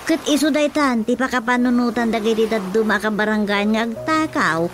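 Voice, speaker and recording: Worried, lamenting mother female actor, Ilocano accent, halting delivery, somewhat high pitch, radio drama. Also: slight background noise, close to an everyday voice.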